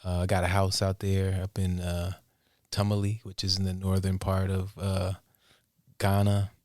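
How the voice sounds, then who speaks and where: A man from the United States with an American accent, talking casually and haltingly as an interview guest. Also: low voice, completely flat delivery, darkish tone, no echo. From Portland, Oregon, United States. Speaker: interview guest, music producer and inventor. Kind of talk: casual conversational storytelling.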